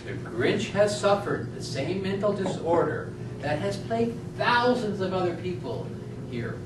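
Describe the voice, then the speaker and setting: A woman's voice: mid-range voice, lively intonation, a little slow, natural voice. A woman playing an emphatic, challenging defense lawyer in an amateur stage courtroom play. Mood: declamatory. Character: dramatic, challenging defense lawyer in mock trial. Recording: echoey distant room, noisy.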